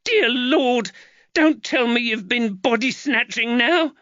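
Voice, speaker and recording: A man voicing an angry, shrill, middle-aged London mother who scolds and shrieks. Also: slow, sharp tone, no room sound, muffled recording, exaggerated voice. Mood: scolding.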